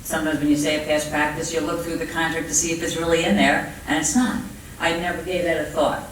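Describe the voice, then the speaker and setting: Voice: medium pitch, level tone, fast talker, a bit bright. Echoey, distant Brooklyn-accented panelist. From Brooklyn, New York, USA. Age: middle-aged or older. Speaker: labor relations negotiator, conference panelist. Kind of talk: panel talk, speaking off the cuff. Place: indoor conference room with audience. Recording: echoey hall, distant mic, faint noise.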